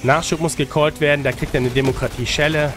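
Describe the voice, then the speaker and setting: A man's voice: a little high, fairly even intonation, quick pace, a bit bright. A man with a slight cold giving casual gameplay tips in a colloquial way, with English gaming slang and frequent tag questions. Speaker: gaming guide creator and streamer. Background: music under the commentary.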